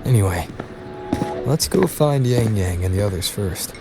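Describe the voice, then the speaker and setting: A man voicing a calm, composed young hero in an anime-style game, speaking in a measured way. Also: fairly low pitch, background noise. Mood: pensive. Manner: measured, reassuring. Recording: loud music under the voice.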